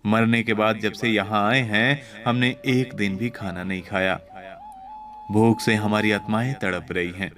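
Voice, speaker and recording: A man's voice: on the low side, fairly even intonation, unhurried, fairly bright tone. Wretched, hungry dead man in hell acted by a male narrator, mournful and complaining, moral storybook tale over music. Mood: miserable. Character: starving, miserable, helpless male soul in hell. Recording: background music under voice.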